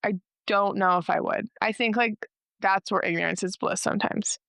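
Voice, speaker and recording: A young woman hosting a casual podcast chat, talking fast and loose with lots of "like" and a slightly nasal voice. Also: medium pitch, steady tone, creaky, fairly bright tone, dry recording, clean studio sound. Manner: chatty, heavy use of "like", quick asides. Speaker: podcast host.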